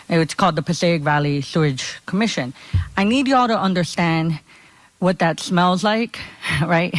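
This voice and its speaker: A woman's voice: lowish voice, no echo. A woman from Honduras giving a conversational talk at a conference as a community organizer, often checking in with her listeners.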